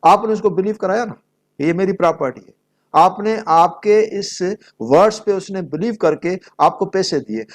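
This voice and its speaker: A man giving an explanatory law lecture, reading out formal text and restating each point in a didactic way. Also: sits high. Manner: didactic, repeats and rephrases points. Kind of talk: explanatory lecture mixing read-out statute and plain examples.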